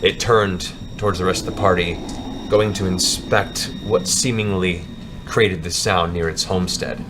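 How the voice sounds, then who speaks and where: A man with a fairly low voice serves as game master for a tabletop fantasy game. He describes scenes in a dramatic storyteller's manner. There is clear background noise around his voice. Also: steady tone, measured pace.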